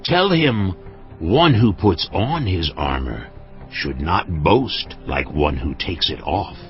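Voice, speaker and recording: A weary, wary biblical king, low in pitch and very slow, with melody that swings widely. It is an audio Bible drama in a slightly dull recording over music. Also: big pitch swings, exaggerated voice. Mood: firm, aggrieved. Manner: slow, deliberate delivery. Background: background music.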